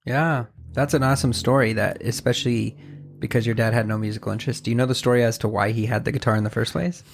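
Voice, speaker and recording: Upbeat male host with a gravelly voice. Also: mid-range voice, steady tone, fast talker. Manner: upbeat, friendly, chatty. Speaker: podcast host. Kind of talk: casual interview chat.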